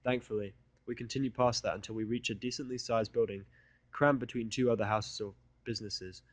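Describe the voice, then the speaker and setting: A man's voice: medium pitch, steady tone, a little fast, no room sound. A man narrating first-person fiction with a sardonic, irritable delivery. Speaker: audiobook narrator.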